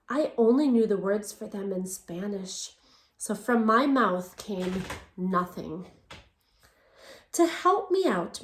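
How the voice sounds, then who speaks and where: Bright-voiced teacher reading aloud. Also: female voice, fairly high pitch. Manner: expressive storytelling, asks children questions; alternates English and Spanish readings. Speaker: elementary school teacher. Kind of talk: bilingual picture-book read-aloud. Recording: echoey room.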